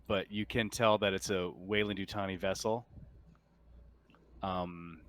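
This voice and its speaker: A man with a fairly low voice runs a tabletop roleplaying game. He explains the rules and the scene in a plain, matter-of-fact manner. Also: fairly bright tone, smooth. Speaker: game master.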